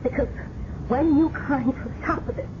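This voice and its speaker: An actress playing a hesitant informant in a 1970s radio drama, speaking in careful, halting phrases. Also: female voice, high-pitched, natural voice. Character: hesitant female informant giving away a hideout. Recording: muffled, noisy 1970s radio drama recording.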